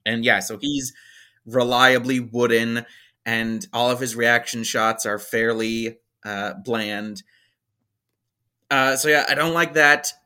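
A man's voice: mid-range voice, fairly even intonation, takes it slowly, bright, forceful tone, smooth. A man in his twenties, a podcast co-host, rambling through a casual film review with plenty of fillers. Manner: rambling, hesitant, many fillers. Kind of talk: casual movie review chat.

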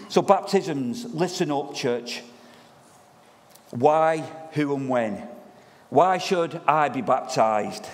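A man preaching in a church, loud and lively, chatting with the congregation as their pastor. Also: mid-range voice. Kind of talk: sermon. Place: church hall. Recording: long reverb of a church hall.

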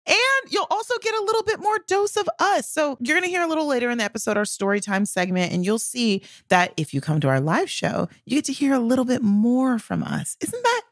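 A woman hosts a casual podcast with lively, swooping intonation. Her delivery is slangy and playfully emphatic. The recording is dry, with very little room sound. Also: high-pitched, fairly quick, hardly any pauses, dry recording. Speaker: podcast co-host and comedy writer. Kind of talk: casual scripted-and-banter podcast chat.

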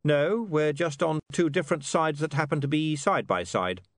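Man playing a high-voiced, haughty young newspaperman. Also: normal speed, dry recording, studio quality, lightly acted. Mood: defiant. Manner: arrogant, clipped, supercilious. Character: young male newspaperman, stubborn, haughty, defiant, nervy. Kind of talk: audiobook character dialogue.

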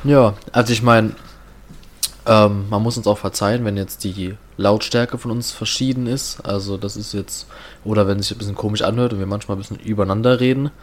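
A man's voice: fairly low pitch, fairly even intonation, a little fast. A man co-hosting a podcast in casual chat, rambling as he explains things. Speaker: podcast co-host. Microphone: own home microphone setup.

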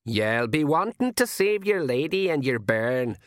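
A man's voice: mid-range voice, sing-song, a little slow, no echo, clean studio sound, pitched above the performer's own voice. A man voicing a blunt, cajoling old male pixie with a Scottish accent for an audiobook. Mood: bossy, matter-of-fact. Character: old male pixie, bossy, folksy spokesman for his chief. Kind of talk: audiobook character voice.

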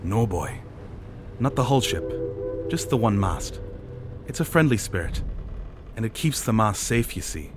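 A man's voice: lowish voice, big pitch swings, a little slow, pauses often, background noise. A man voicing a seasoned, superstitious sailor with folksy rustic speech and a wry, reassuring manner in a storybook reading. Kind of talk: audiobook character dialogue.

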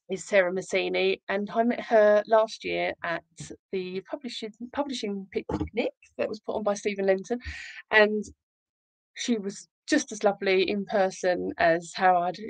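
A woman's voice: mid-range voice, gravelly, no room sound, poor audio. Chatty woman hosting a livestream. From Essex, England. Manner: rambling, self-deprecating, effusive. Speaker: live show host, children's author.

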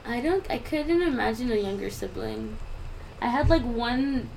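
A young woman of nineteen speaks with a somewhat high voice on a casual podcast. She hesitates, giggles and lets thoughts trail away. Faint ambient noise lingers behind her. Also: expressive, a little slow, vocal fry, darkish tone, rough recording. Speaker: podcast co-host. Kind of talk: casual chat.

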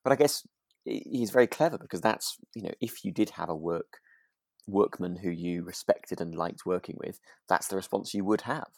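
Guy podcast co-host, low voice, very dry sound, casual chat, kinda halting and reflective. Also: gravelly, no room sound. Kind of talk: relaxed radio drama discussion chat.

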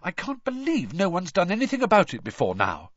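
A man voicing a bossy, earnest teenage schoolgirl in an audiobook, brisk and insistent. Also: high-pitched, fairly bright tone, no echo, dull audio, pitched above the performer's own voice. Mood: indignant.

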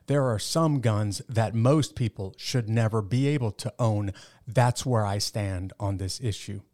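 A man's voice: fairly low pitch, a little slow, a little mellow. A man hosting a podcast, introducing an episode and questioning his guests in a direct, conversational way. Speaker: podcast host.

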